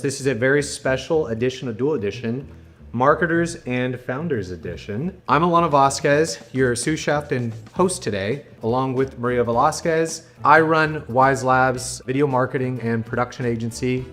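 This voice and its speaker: A man hosting a casual interview show, with an upbeat, promotional way of talking. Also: medium pitch. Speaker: host.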